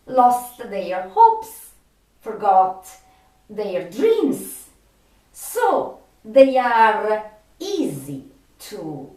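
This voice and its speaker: A woman hosting a video monologue, speaking haltingly and earnestly, with long filled pauses and repeated phrases. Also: mid-range voice, darkish tone. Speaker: video host. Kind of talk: rambling monologue to viewers. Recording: roomy, echoey, distant from the mic.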